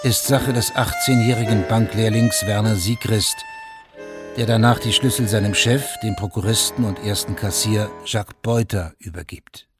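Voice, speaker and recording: A man with a slightly low voice narrates a radio drama from a script. He is sober and precise, and music plays clearly behind him. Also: steady tone, moderate pace.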